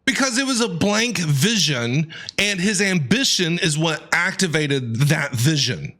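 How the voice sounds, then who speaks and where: Bright, high-voiced male game streamer. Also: expressive, unhurried. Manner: exclamatory, talks back to characters. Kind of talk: casual reaction commentary. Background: game music.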